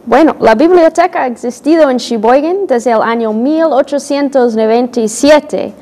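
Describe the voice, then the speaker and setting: A woman's voice: sits high, normal speed, mellow, in a large hall. A young woman, a children's librarian and a second-language Spanish speaker, answering interview questions carefully with some hesitation. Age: young adult. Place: small TV studio set. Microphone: lapel.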